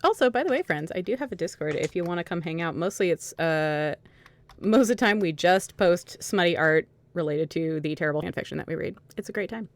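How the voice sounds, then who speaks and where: A woman's voice: lowish voice, lively intonation, a little fast, no room sound. A woman hosting and narrating an amateur dramatic reading, with casual chat along the way. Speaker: host and narrator.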